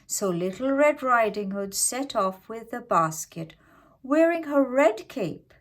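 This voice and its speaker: Casting: woman storyteller for kids' tales, expressive and deliberate, widely varied intonation. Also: a little high. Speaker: children's storyteller. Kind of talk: scripted fairytale narration.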